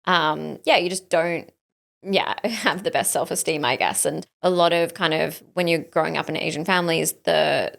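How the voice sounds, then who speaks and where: Woman in her thirties, Australian accent, gravelly fry, slightly low, candid podcast guest. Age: 30s. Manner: candid, informal, uses "like" and "you know" often. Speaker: podcast guest, startup founder. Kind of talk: casual interview chat.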